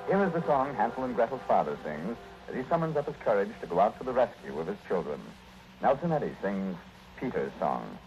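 A man's voice: fairly low pitch, sing-song, brisk, loud music behind the voice. A man announcing a scripted 1940s radio program. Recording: muffled 1940s radio recording.

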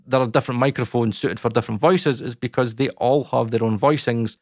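Scottish man, thirties, dark boomy voice, chatty and loose, dry close-mic sound. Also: brisk, no room sound. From Scotland. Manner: chatty, lots of fillers, talks with his hands. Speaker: YouTube audio educator. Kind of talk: casual explainer talk to camera. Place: small home studio, indoors. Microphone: large dynamic mic close in front.

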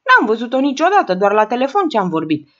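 A woman's voice: on the high side, huge pitch swings, fairly bright tone, lo-fi sound, heavily acted. A woman reading aloud and voicing a frail, plaintive old woman who rambles on.

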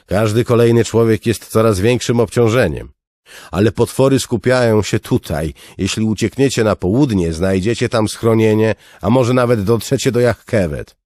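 A man's voice: on the low side, moderate pace, darkish tone, no room sound, pitched above the performer's own voice, close to an everyday voice. A man voicing a firm, weary city guard captain in an audiobook, insistent and exasperated.

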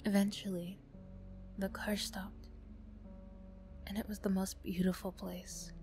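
A woman narrates an ASMR story softly and breathily. Her delivery is slow and hushed, in short measured phrases, with music underneath. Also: mid-range voice, flat delivery. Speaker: narrator and voice performer. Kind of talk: soft ASMR story narration in second person.

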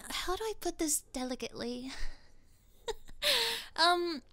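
High-pitched smug mean cheerleader girl played by a woman. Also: measured pace, pushed and bright, dry recording, heavily acted. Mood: smug, mocking. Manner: condescending, giggly, saccharine pet names. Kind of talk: scripted ASMR roleplay acting.

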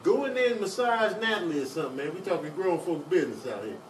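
A man voicing mouthy, excitable pets with slangy, repetitive talk in a comic voice-over. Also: high-pitched, fast talker, slight background noise. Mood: cocky. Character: animated, mouthy male pets, chatty and excitable.

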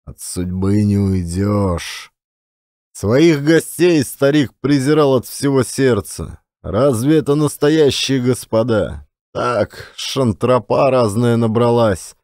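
A man narrating classic literary fiction as an expressive audiobook storyteller. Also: medium pitch, takes it slowly, no room sound, clean studio sound.

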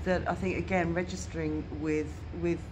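A woman's voice: on the low side, level tone, normal speed. Earnest woman, noisy outdoors. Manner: earnest, explaining at length with many fillers. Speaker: volunteer helping the homeless. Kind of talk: earnest casual chat. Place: glass-walled seaside shelter, sunny day.

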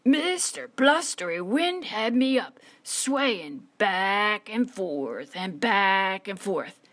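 A woman voicing a big, amiable male palm tree in a children's storybook, weary and complaining with drawn-out words. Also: medium pitch, a bit bright, heavily acted.

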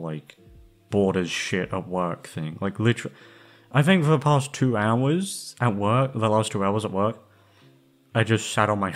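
A man chatting casually and rambling to his listeners in an informal, sweary, self-deprecating way. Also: on the low side. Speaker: ASMR roleplay creator. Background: background music.

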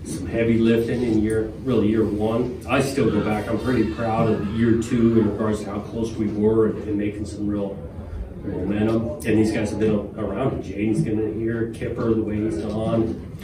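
A middle-aged man, a head football coach, answering postgame press questions in a measured, plainspoken way with frequent fillers. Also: on the low side, level tone. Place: press conference room with a logo backdrop. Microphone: podium microphone, filmed from a far camera. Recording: roomy, distant, with room noise.

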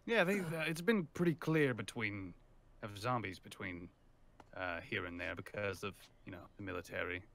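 A man's voice: lowish voice, normal speed, vocal fry, a bit bright. A man improvising casual dialogue in a game roleplay.

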